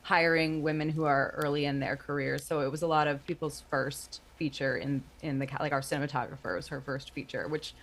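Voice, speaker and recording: A woman's voice: low-pitched, steady tone, a little fast, hardly any pauses, slight background noise. A woman in her thirties speaking casually as an interview guest, explaining her creative work in a conversational way.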